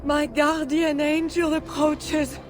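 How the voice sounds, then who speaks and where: A woman's voice: sits high, little pitch movement, slow. An actress playing a proud, devout and defiant Frankish queen, projecting her words in a video game cutscene.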